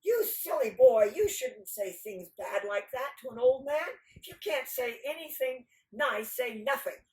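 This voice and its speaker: A woman's voice: fairly high pitch, animated, a little slow, few pauses, darkish tone, far from the mic, pitched above the performer's own voice, low-quality recording. A woman voicing indignant passers-by in a folk tale, scolding and correcting with emphatic admonishment. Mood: stern scolding.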